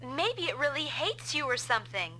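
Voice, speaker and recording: A woman's voice: sits high, very animated, normal speed, bright, forceful tone, a little hiss or hum, exaggerated voice. A woman voicing a flippant, teasing, girlish female sidekick in an anime-style game dub. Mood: teasing, playful.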